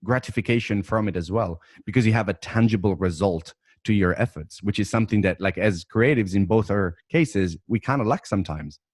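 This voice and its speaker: Man, italian native, low voice, dry sound, enthusiastic, casual chat. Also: fairly quick, few pauses, no room sound. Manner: enthusiastic, laughs often. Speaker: podcast host.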